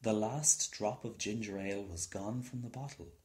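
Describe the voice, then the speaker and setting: A man's voice: lowish voice, moderate pace, a little mellow. A man reading a children's story aloud as scripted narration. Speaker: storybook reader.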